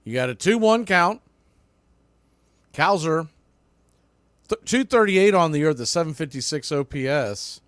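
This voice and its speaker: A man's voice: fairly high pitch, animated, a little slow, long pauses, fairly bright tone, dry recording, studio quality. A man doing live baseball play-by-play in clipped short phrases, with casual asides.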